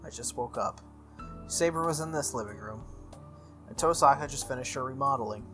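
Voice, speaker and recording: A man hosting a game playthrough, reading a visual novel aloud in an animated way with casual asides. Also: medium pitch, a little fast. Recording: music playing behind the voice. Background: game music.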